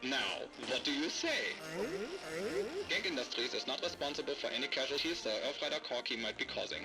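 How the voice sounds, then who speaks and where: An actor playing a showy salesman in a parody game commercial, with a theatrical, grandiose sales pitch. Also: a little fast, natural voice. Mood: showy, salesy. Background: music.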